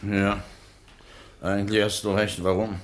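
An actor playing a scheming middle-aged doctor who snaps harshly and gives orders, in a 1960s radio drama. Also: male voice, on the low side, little pitch movement, a little slow, lightly acted. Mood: calculating. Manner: controlled, turns harsh and commanding.

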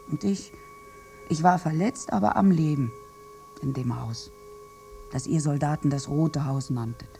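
A woman's voice: low-pitched, darkish tone. An actress playing a bitter, wounded village woman who survived a massacre, in a theatrical, morbidly wry radio drama monologue. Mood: wistful, dramatic.

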